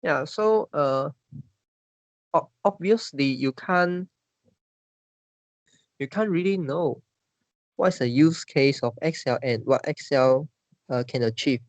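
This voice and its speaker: A man's voice: fairly high pitch, slow, long pauses, no echo. A man with a Malaysian English accent, leading a casual discussion and stumbling through many restarts and fillers. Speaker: discussion leader.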